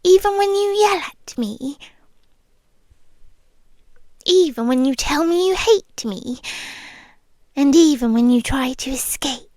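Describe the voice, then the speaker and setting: Casting: woman to play possessive British vampire girlfriend for ASMR roleplay. High, hushed, breathy voice, very slow delivery, lovesick and ancient. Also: expressive, no room sound. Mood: tender, obsessive. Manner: hushed, breathy, slow and lingering, often whispering. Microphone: close microphone, dry.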